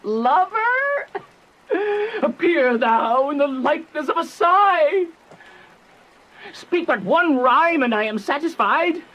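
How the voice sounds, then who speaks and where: Boisterous young man, very high-pitched. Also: lively intonation, slow pace, heavily acted, low-quality recording. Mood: mocking. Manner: theatrical, teasing, calling loudly. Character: mocking, boisterous young friend calling out. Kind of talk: Shakespearean radio drama acting.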